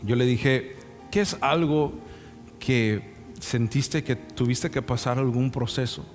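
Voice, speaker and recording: A man's voice: mid-range voice, slow pace. A young man preaching a sermon with anecdotes in a conversational way, often checking in with rhetorical questions. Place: church sanctuary. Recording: reverberant hall sound, music underneath. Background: music.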